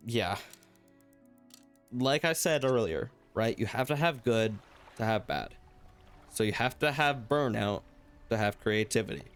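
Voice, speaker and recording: A man's voice: lowish voice, clear, resonant tone. A young man hosting a solo talk show, rambling loosely and reflectively, his voice slightly worn from hours of talking. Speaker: podcast host.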